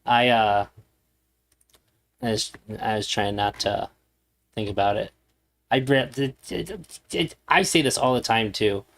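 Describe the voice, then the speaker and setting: A man hosting a livestream, giving casual commentary in a halting, rambling way. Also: lowish voice, a bit bright. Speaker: streamer host.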